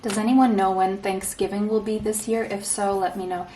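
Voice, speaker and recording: A woman from Portugal leads a tarot reading in a soft, reassuring manner, checking in with listeners and clearing an irritated throat now and then. Her voice sits a little off the microphone in an echoey room, with a faint hum underneath. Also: mid-range voice, steady tone, moderate pace, recorded from a distance. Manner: soft, reassuring, often ends points with "okay?". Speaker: tarot reader. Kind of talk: pick-a-card tarot reading.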